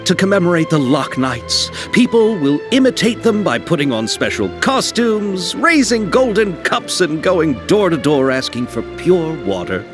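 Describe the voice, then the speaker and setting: A man's voice: a little high, normal speed, few pauses, over music. A man playing an eager, polite and bookish young filmmaker in a video game, explaining things earnestly and wordily. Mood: eagerly explaining. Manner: earnest, wordy explainer, very expressive. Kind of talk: video game cutscene acting.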